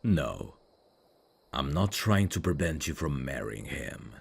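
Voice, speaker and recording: A man playing a brooding dark fantasy prince in an intimate ASMR roleplay, tender and lovesick, with a husky, gravelly voice and a hushed, formal delivery. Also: rumbling low, sing-song, heavily acted. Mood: earnest, pleading. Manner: slow, hushed, formal and halting. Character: brooding evil prince, tender and lovesick toward old friend. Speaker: voice actor.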